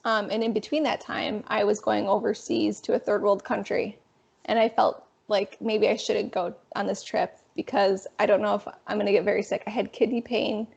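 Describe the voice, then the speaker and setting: A young woman in her twenties with a raspy voice, a guest telling her story in a casual, animated interview. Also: mid-range voice, moderate pace. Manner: animated, frequent fillers. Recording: dull lo-fi sound.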